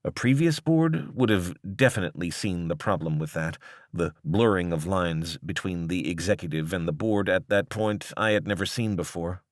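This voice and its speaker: A man reading a scripted piece of long-form journalism as narrator, in a measured, articulate way. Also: low voice, dry recording, clean studio sound.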